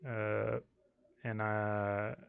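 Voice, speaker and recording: A man's voice: lowish voice, monotone, drawn-out words, creaky, smooth, dry recording, phone-quality sound. A young man who speaks English as a second language, casually explaining his own tabletop game system and thinking aloud as he goes. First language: not English. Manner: rambling, self-correcting, thinking aloud.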